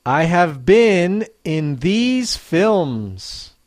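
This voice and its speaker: A teacher running a guessing quiz for learners, reading each clue slowly and clearly, then repeating it. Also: mid-range voice, sing-song, dry recording, clean studio sound. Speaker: English teacher. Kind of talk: slow quiz dictation for learners.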